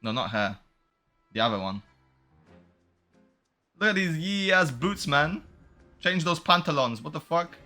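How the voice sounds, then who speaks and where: Man, game streamer, bright forceful voice, medium pitch, slangy blunt casual commentary, swearing, faint music behind. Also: animated, a little slow, long pauses. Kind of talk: casual gaming commentary, reacting to story scenes.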